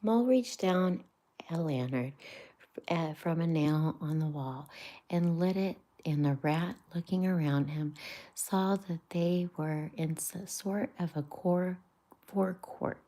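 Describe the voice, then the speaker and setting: A woman streamer reading a classic children's book aloud in a soft, half-whispered voice, stumbling now and then over words. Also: deep voice, slow. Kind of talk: live-streamed storybook reading.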